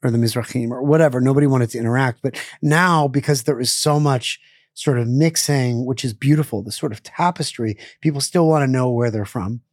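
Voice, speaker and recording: A man's voice: mid-range voice, fairly quick, no echo, clean studio sound. A middle-aged man, a chef, talking casually in an interview, rambling with lots of fillers and restarts. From born in Israel, raised in Pittsburgh. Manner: rambling, many fillers and self-corrections.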